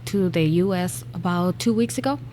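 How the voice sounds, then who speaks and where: Venezuelan-born lady, soft and halting, kinda low voice. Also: slight background noise. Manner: hesitant, many fillers, soft-spoken. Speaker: restaurant owner. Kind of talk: interview answers. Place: restaurant.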